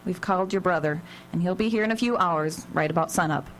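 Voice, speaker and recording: A woman's voice: lowish voice, quick pace, lightly acted. A woman playing a wry, authoritative small-town sheriff in a live radio drama. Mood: measured, firm. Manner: dry, authoritative. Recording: noisy live radio broadcast, hum.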